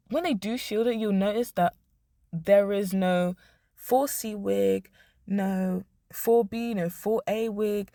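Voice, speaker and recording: A young woman vlogger, hoarse, giving blunt, emphatic commentary to the camera. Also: mid-range voice, a little mellow, no room sound, studio quality. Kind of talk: opinionated talking-head commentary.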